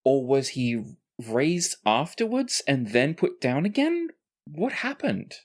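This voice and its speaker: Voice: mid-range voice, huge pitch swings, slow pace, pitched above the performer's own voice, full character voice. A game master voicing a weary, troubled adventurer who talks bluntly and is full of questions, in a tabletop game.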